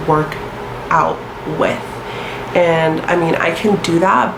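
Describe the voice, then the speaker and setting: A woman's voice: lowish voice, roomy sound, rough recording. A young woman in her twenties with a stuffy nose from a sinus infection, chatting casually to camera with lots of ums and likes. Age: 20s. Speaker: astrology vlogger.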